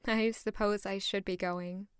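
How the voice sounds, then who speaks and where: A woman's voice: mid-range voice, steady tone, measured pace, clean tone, no echo, studio quality, natural voice. A woman playing a flustered, demure young maid given to romantic daydreams in an audio drama. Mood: flustered, polite. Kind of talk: audio drama acting with first-person narration.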